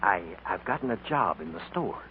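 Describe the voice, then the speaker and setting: A man's voice: fairly low pitch, sing-song, fairly quick. A man playing a mild, naive, deferential store detective in a 1940s radio comedy, polite and earnest. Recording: scratchy, tinny 1940s radio broadcast.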